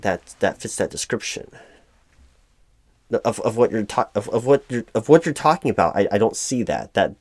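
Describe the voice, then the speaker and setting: Man playing a stammering, flustered office manager, low voice. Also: dry recording, studio quality. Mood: confused, frustrated. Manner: halting, stammering, repeats words; frustrated, hesitant. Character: flustered, apologetic male corporate hiring manager. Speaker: recruiting manager in a one-sided roleplay. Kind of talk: improvised audio roleplay, one side of a conversation.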